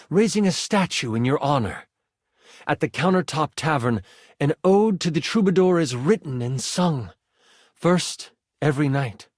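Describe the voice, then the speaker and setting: A man playing an excitable game master in a video game, narrating a fantasy adventure with theatrical, suspenseful expression. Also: medium pitch, dry recording, studio quality. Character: personified twenty-sided die, an excitable male game master. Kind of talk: dramatic tabletop fantasy narration.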